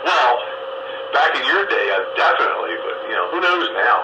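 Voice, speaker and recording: A man with a medium-pitched voice rambles in a folksy, joking way over the radio. The transmission is echoey and buried in loud noise. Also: very animated, fairly quick. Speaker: radio round table participant. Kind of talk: casual joking radio chat.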